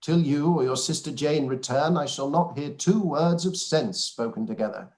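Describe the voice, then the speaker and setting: A man's voice: fairly high pitch, moderate pace, a little mellow, natural voice. Man acting a wry, bookish old father. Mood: fond, wry. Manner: dry, teasing. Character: wry, bookish elderly father, dry but fond. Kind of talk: radio play live read.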